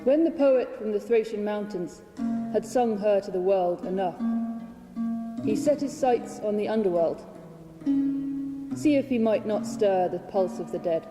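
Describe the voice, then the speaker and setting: A woman reads poetry dramatically at a slow, deliberate pace, leaving weighted pauses. Her voice rings in a large hall, with plucked string music clearly audible behind her. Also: mid-range voice, animated. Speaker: reader of own poetry translation. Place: large hall at a public reading.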